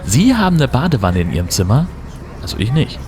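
Man as grumbling hungry traveller, very lively swooping intonation, theatrical, audio drama, some background noise. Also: mid-range voice, brisk, close to an everyday voice. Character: grumbling, hungry male member of a Soviet tour group.

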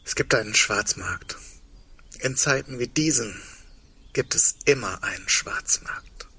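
An actor in a German radio play playing a frightened, grieving man, speaking in a halting, subdued, confessional way. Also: medium pitch, expressive, pushed and bright, slightly rough, heavily acted. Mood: bitter, resigned.

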